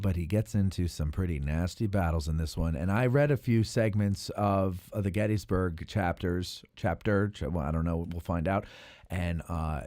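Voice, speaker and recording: A man hosting a casual talk show, speaking in a halting way and often repeating himself. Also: sits low, mellow, no room sound, clean studio sound. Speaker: podcast host. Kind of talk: casual chat.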